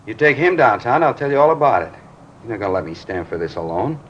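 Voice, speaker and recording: A dull, faintly hissy vintage crime broadcast. a resentful American garage hand, blunt and defensive, talking quickly and pushing back. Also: male voice, medium pitch, sing-song, fairly bright tone. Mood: bitter, accusing. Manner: blunt, combative. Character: defensive, resentful male garage worker and petty thief. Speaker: actor in a police radio drama. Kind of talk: mid-century American crime drama acting.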